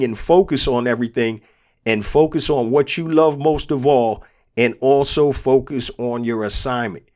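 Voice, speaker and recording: A man with a cold, his nose stuffed and throat sore, hosting a motivational business podcast. He talks earnestly and repeats his key points for emphasis. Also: mid-range voice. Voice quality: congested. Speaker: podcast host and business coach.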